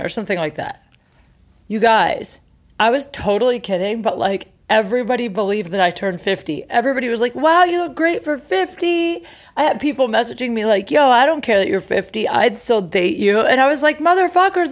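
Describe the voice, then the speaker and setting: A woman podcast host, likely in her thirties, talking in a candid, chatty, self-mocking way and peppering her speech with "like" and "um.". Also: on the high side. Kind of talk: casual storytelling monologue with ad reads.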